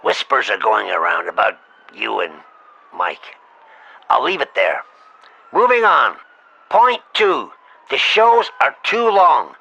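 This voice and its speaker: Man acting a blunt talent agent, foul-mouthed, bright forceful voice, creaky, sarcastic, comedy sketch. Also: medium pitch, very animated, slow, long pauses, dull audio, full character voice. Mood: blunt complaining. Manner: blunt, scolding, sarcastic, talking over the other. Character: exasperated, foul-mouthed male talent agent scolding his client. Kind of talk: comedic mock phone-call sketch.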